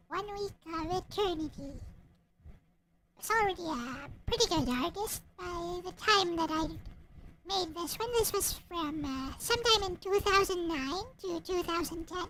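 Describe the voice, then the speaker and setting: A woman with a small, childlike voice chatting casually and haltingly on a livestream about her own writing. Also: extremely high pitch, slight background noise, rough recording. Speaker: streamer and visual novel writer. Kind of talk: casual livestream chat showing own work. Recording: loud music behind the voice. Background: loud music and game sound effects.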